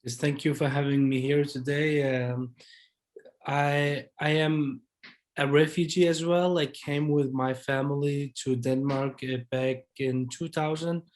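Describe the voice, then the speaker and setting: A man's voice: a little high, fairly even intonation, measured pace. A man in his thirties, from Iraq, a documentary director answering panel questions in a hesitant way, with fillers and restarts.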